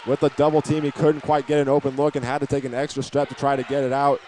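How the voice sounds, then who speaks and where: A man's voice: mid-range voice, little pitch movement, quick pace, a little mellow. A man giving live play-by-play commentary of a basketball game in short, plain descriptive phrases. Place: indoor high school basketball game. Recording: live gym recording with background noise.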